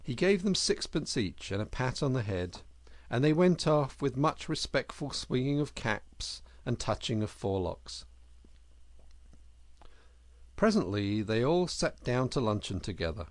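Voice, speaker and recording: A man's voice: mid-range voice, big pitch swings, normal speed, no room sound. A man narrating a children's classic as an audiobook, reading expressively.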